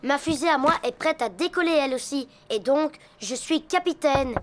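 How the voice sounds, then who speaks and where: High-voiced little boy hippo, played by a woman. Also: moderate pace, noisy background, lightly acted. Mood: enthusiastic. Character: dreamy, earnest little boy hippo, a bit sulky. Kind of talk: children's cartoon voice acting. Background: loud music.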